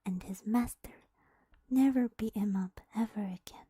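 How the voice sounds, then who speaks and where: A woman streamer telling a story in a soft unvoiced whisper, playful and teasing, with giggly asides to her chat. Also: mid-range voice, moderate pace, breathy edge, dry recording.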